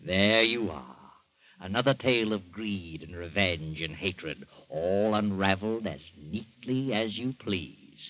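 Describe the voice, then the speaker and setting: An actor playing a sinister, all-knowing male narrator of a 1940s radio drama, who speaks slowly and ominously with low chuckles. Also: expressive. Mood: mocking. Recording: muffled old radio recording with music.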